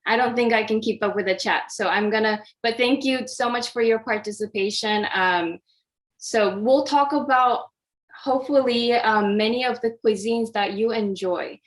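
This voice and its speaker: A woman's voice: fairly high pitch, normal speed. Japan-born woman dietitian giving an online talk. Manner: friendly, hesitant with frequent fillers. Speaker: oncology dietitian presenter. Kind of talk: interactive online nutrition presentation.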